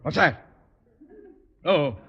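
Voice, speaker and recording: A man's voice: a little high, animated, very slow, dull audio, heavily acted. An actor playing a pompous, easily panicked middle-aged father and boss in a theatrical 1940s radio comedy style. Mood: groggy dread. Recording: noisy old radio recording, hall reverb.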